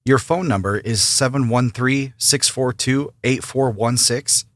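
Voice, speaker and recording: A man playing an immigration officer who asks formal, steady questions in a scripted practice interview. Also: mid-range voice, a little slow, no echo, studio quality, natural voice. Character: male immigration officer, businesslike and neutral. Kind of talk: scripted role-play interview.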